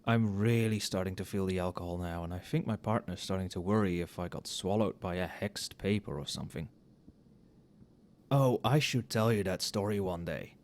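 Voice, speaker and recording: Soft-spoken, low-voiced tipsy professor played by a man. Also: normal speed. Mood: musing, tipsy. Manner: muttering, musing, dry wry asides. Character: tipsy, overworked male magic professor grading late at night. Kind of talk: soft-spoken casual ASMR roleplay.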